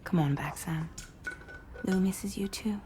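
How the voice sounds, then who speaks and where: A woman playing a soft-spoken, weary ally in a video game cutscene, with a breathy, whispery delivery. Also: medium pitch, a little slow, a little hiss or hum, lightly acted. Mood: gentle, grateful.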